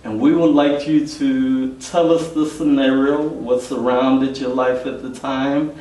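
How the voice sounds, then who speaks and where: A man hosting a church testimony interview, speaking in a plain, deliberate and earnest way. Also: medium pitch, little pitch movement, darkish tone. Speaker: interviewer and host. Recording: roomy, echoey, distant mic.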